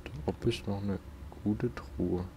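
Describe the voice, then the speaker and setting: A man's voice: on the low side, fairly even intonation, measured pace, background noise. A man doing casual let's play commentary and reading in-game notes aloud, close to the microphone.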